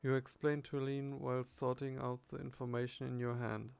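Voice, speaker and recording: A man narrates a text-based game in a subdued, even voice with some gravel in it. His pitch moves very little. The recording sounds dull and muffled. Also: a little fast, a little hiss or hum. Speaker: solo player reading game text aloud.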